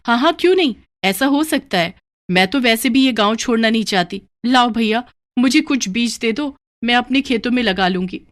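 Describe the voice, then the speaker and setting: A woman voicing an eager, insistent village farmer woman in an animated moral story. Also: fairly high pitch, moderate pace, dry recording, clean studio sound, close to an everyday voice.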